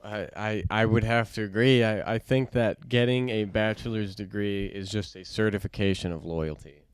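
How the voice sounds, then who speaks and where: A young man in his twenties speaks in a crisp, resonant voice with almost no room sound. He comes across as a podcast co-host in relaxed conversation. He is agreeable yet emphatic, doubling back on phrases to drive them home. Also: fairly low pitch, moderate pace, no room sound. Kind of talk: casual conversational podcast chat.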